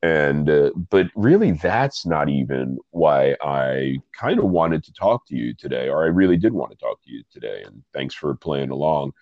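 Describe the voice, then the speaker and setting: A man's voice: a bass voice, big pitch swings, normal speed, hardly any pauses, a little mellow, no room sound, muffled recording. A man with a stuffy nose hosting a casual interview, rambling with self-deprecating asides. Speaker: podcast host and coffee reviewer.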